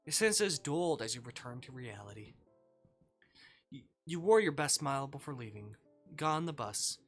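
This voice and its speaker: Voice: mid-range voice, very animated, normal speed, breathy edge, soft background music. A streamer of ambiguous gender reading a visual novel aloud as dramatic live narration, sometimes stumbling over words. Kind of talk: live narration of a story game, read aloud with character voices.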